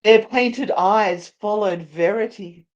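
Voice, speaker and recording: A woman with a mid-pitched voice narrates a story live at a slow pace. She is theatrical and playful, moving between dramatic reading and chatty banter as co-host. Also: darkish tone, highs cut off.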